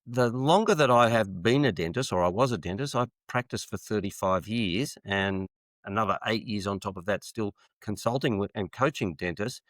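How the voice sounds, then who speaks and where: A man's voice: lowish voice, moderate pace, dry recording. A man in his sixties from Australia, hosting an interview podcast in a friendly, talkative manner. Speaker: podcast host.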